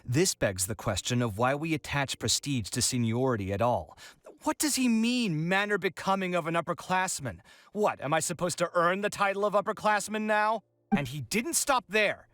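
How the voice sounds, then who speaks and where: A man's voice: on the high side, lively intonation, normal speed, fairly bright tone, dry recording, clean studio sound. An actor playing a proud, dramatic young male architect in a video game, indignant and wordy. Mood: indignant mockery. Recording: game audio with music behind.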